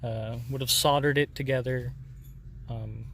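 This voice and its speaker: A man gives a casual hands-on tutorial in a mid-pitched voice. He explains things step by step in informal language, as the host of a DIY demonstration. There is clear noise behind him. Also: fairly even intonation, unhurried, a bit bright. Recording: noticeable background noise.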